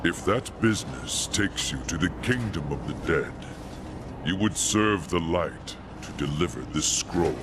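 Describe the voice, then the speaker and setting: An actor playing a solemn angel warrior who guards an outpost of light in a fantasy game, speaking formally and with measure. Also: male voice, sits low, expressive, unhurried, background noise.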